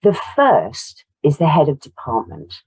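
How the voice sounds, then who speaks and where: Croaky-voiced woman presenting results. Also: low-pitched, huge pitch swings, measured pace, a little mellow, low-quality recording. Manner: apologetic, walks through slides. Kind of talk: webinar presentation.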